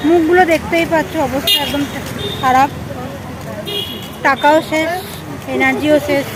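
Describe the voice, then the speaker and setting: A woman's voice: sits high, fairly even intonation, normal speed. A woman chats casually to her viewers as she narrates her daily vlog. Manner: addresses viewers informally. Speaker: vlogger. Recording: noisy outdoor and vehicle background.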